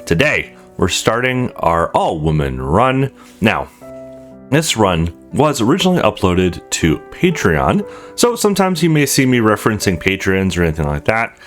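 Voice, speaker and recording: Guy doing gaming commentary, super lively up and down voice, bit of music behind. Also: on the low side, moderate pace. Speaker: host of a gaming channel. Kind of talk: casual let's play commentary.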